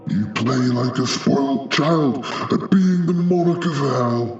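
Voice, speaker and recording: A man's voice: mid-range voice, slow, lightly acted. A man playing a proud, menacing ancient demon duke in an audio drama, with a lugubrious, pompous, declaiming delivery. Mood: haughty. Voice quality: lugubrious, sickly-smooth. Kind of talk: theatrical audio drama villain. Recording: muffled, echoing, with music underneath.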